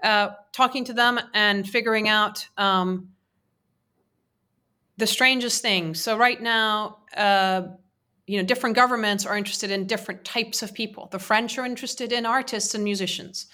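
A woman's voice: medium pitch, fairly even intonation, normal speed, pushed and bright. A woman whose first language is Persian, answering interview questions earnestly, with many fillers and restarts. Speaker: interviewee, women's peace advocate.

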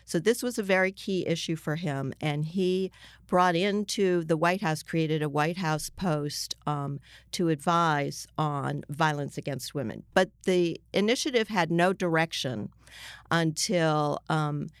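Low-key older American woman, clear dry sound. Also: a little slow, no room sound. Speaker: journalist, interview guest. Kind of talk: explanatory interview talk.

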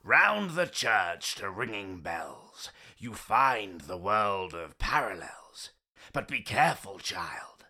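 An actor playing a crumbling old stone gargoyle in an audio play, grand and declamatory, boastful and grumbling. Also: male voice, lowish voice, very animated, takes it slowly, pushed and bright, breathy edge, full character voice. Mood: grave, solemn. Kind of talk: theatrical audio play acting.

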